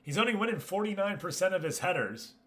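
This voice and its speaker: A man's voice: sits high, sing-song, fast, fairly bright tone. A man hosting a gaming livestream, talking casually and chummily to his viewers as he comments. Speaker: livestream host.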